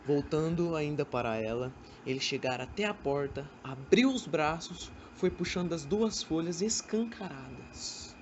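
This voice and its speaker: A woman's voice: sits low, measured pace. Narrator, female, scripted storytelling for student radio drama. Somewhat lo-fi sound, light background noise. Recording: dull amateur recording with faint noise.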